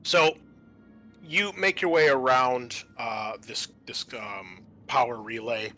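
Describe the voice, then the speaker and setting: Bright-voiced man running a tabletop game over music. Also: mid-range voice. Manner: conversational, halting, informal narrating. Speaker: game master. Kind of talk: improvised narration of a tabletop roleplaying game. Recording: music behind the voice.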